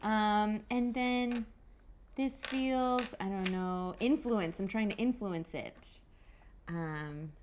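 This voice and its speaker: A woman playing a tabletop roleplaying game, casual and playful, thinking out loud as she plans her moves. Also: mid-range voice, slow, slight background noise. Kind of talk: casual improvised game play.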